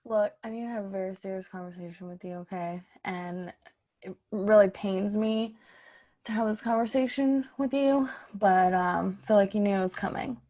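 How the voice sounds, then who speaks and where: A woman's voice: medium pitch, normal speed, warm tone, natural voice. Woman as a stern, exasperated police boss. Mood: firm, exasperated. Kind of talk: improvised comedy radio play.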